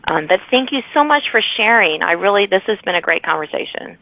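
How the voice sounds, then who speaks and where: A woman's voice: medium pitch, lively intonation, fairly quick, no echo. A woman hosting a podcast, wrapping up an interview with thanks and a note to listeners. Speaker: podcast host. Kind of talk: closing an interview. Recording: dry, dull lo-fi podcast audio.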